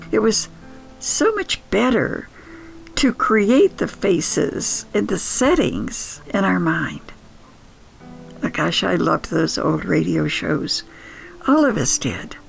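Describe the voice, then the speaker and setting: An older woman with a strongly gravelly, creaky voice tells personal memories slowly. Her delivery is reflective and nostalgic, like scripted storytelling, with faint music behind her. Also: mid-range voice. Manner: reflective, unhurried. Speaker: podcast storyteller.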